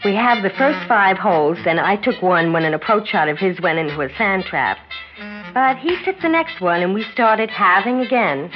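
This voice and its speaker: An actress playing a proud, competitive young sports champion in a 1960s radio drama, curt and guarded. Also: female voice, medium pitch, animated, moderate pace, close to an everyday voice. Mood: brooding recollection. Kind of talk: 1960s radio drama acting with first-person narration. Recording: dull 1960s radio recording, loud music.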